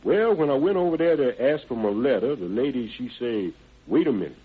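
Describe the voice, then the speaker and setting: A man's voice: mid-range voice, animated, brisk, husky. An actor playing an evasive, deferential working man with folksy, ungrammatical speech and polite yes-sirs, in an old radio drama style. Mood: earnest, explaining. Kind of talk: 1940s-50s radio drama acting. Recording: tinny band-limited old radio recording.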